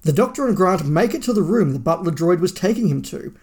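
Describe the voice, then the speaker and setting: Male podcast host, fairly high voice, scripted story recap, read-aloud narration. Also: lively intonation, brisk, darkish tone. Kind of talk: scripted plot summary narration.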